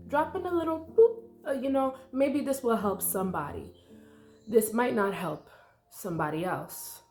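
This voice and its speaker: Congested young woman rambling in an echoey room. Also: deep voice. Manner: rambling and chatty, full of likes and ums. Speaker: meditation vlogger. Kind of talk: casual unscripted guided meditation talk. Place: her apartment. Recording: echoey room with faint music.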